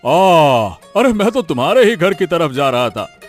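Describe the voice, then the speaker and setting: A man acting a smug, highish-voiced village villain. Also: big pitch swings, unhurried. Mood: conversational. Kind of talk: animated moral story dialogue in Hindi. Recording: music behind the voice.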